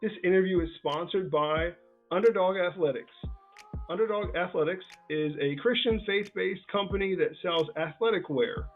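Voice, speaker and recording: Over a thin, phone-quality connection, a man with a fairly high voice hosts a sports interview. He speaks casually and conversationally, feeling his way through questions in an informal, halting manner. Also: faint music behind. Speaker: interviewer and football scout. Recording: thin, narrowband phone-like call sound.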